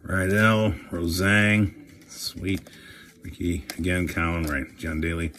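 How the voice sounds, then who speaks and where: A man chatting casually and excitably while opening trading card packs, swearing now and then. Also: deep voice, slow, a little hiss or hum. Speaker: career coach and card collector host.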